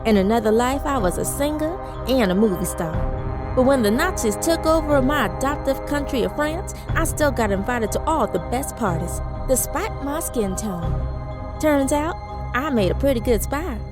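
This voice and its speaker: An actress playing a commanding, wry 1940s spy who narrates an audio drama with confident, dramatic delivery. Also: female voice, high-pitched, expressive, normal speed. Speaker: narrator and lead character. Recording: music under the voice.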